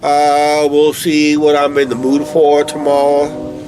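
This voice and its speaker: A man's voice: on the high side, completely flat delivery, slow, a little mellow, a little hiss or hum, low-quality recording. A man with a stuffy, blocked nose chatting casually over a beer at night outside by a shed, rambling with lots of "uh, basically" fillers into a camera on a tripod. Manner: meandering, filler-heavy, "uh, basically" talk. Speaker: co-host of a vlog channel. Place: outdoors at night near a shed.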